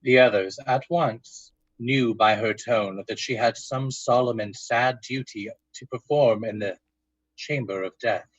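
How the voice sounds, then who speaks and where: An actor narrating an eerie period tale in the style of an old-time radio drama. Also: male voice, medium pitch, a little slow, no room sound, muffled recording, lightly acted. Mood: measured suspense.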